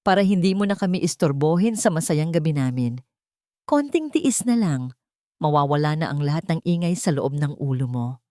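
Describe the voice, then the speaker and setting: A woman's voice: deep voice, very animated, moderate pace, warm tone, no echo, clean studio sound. A woman voicing a greedy, scheming young woman who turns sweet talk into cold menace. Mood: cold, menacing. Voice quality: honeyed then cold whisper.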